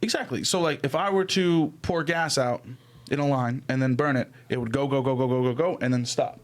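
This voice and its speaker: A man's voice: medium pitch, brisk. A young man chatting casually on a podcast mic, theorizing in an emphatic, slangy, profane way. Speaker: podcast co-host. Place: small indoor room. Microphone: podcast microphone on arm, headphones.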